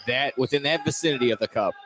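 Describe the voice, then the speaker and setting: A man's voice: mid-range voice, quick pace. An American man giving colour commentary during a live basketball game. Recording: clear gym noise behind voice. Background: sports venue ambience.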